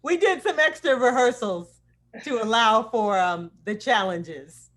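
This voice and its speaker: A woman's voice: fairly high pitch, unhurried, a bit bright. A woman acting teacher hosting a student showcase on a video call and chatting casually with participants.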